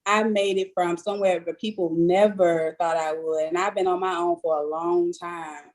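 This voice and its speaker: A woman in her twenties speaking sincerely on a casual podcast, first hesitant, then quick and determined. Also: on the low side, fairly even intonation, darkish tone. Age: 20s. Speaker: podcast co-host.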